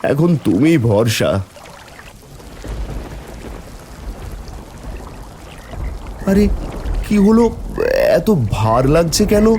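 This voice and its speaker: Man voicing a kindly, expressive poor fisherman father. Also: on the high side, measured pace, a little hiss or hum. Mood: surprised, tender. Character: poor, kindly village fisherman father, weary yet gentle. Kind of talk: animated fairy-tale cartoon dialogue.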